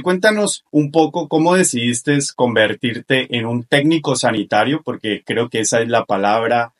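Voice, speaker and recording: A male podcast host speaks in a mid-range voice. He presents in an orderly, courteous way, addressing both his guest and his audience. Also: mid-range voice. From Colombia. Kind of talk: podcast introduction and interview.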